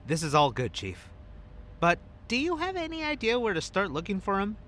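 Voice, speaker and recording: A man's voice: moderate pace, full character voice. A man playing a cocky, irreverent male agent who teases expressively in an audio drama. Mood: incredulous. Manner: teasing, expressive. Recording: faint rumbling background noise.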